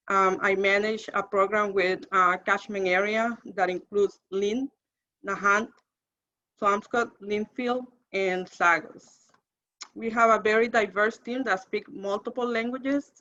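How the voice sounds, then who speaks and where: A woman from Honduras introduces herself over a muffled video call. Her voice is bright and crisp, and she speaks steadily and matter-of-factly. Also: mid-range voice. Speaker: program director. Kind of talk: panel self-introduction.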